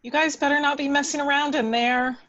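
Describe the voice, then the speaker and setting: A woman's voice: high voice, steady tone, a little fast, fairly bright tone, muffled recording. A woman playing a nervous, worried female lab scientist in an amateur table read.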